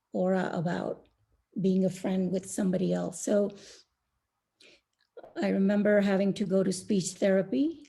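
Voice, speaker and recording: A middle-aged woman from India speaking as a panelist on an online call, slow and thoughtful with many pauses and fillers. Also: mid-range voice, creaky, mellow. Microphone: video call.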